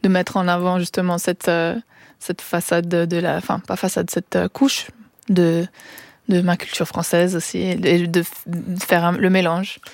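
A young woman talks in a dry, close studio sound, her voice sitting a little low. She hesitates and restarts often, slipping English words into her sentences. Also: completely flat delivery, moderate pace, no room sound. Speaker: singer, radio guest. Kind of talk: casual radio interview. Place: radio studio. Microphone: studio microphone.

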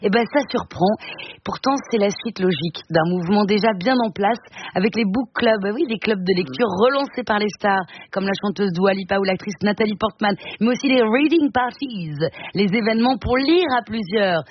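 Woman doing a chatty culture bit on the radio, wry asides, muffled sound with clear hiss. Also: mid-range voice, moderate pace. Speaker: radio columnist. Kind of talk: scripted radio culture column. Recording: dull radio broadcast with hiss.

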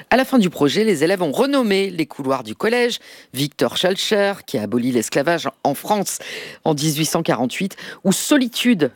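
A man in a radio studio reading a press review in a lively, emphatic way. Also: fairly high pitch, dry recording. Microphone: studio microphone.

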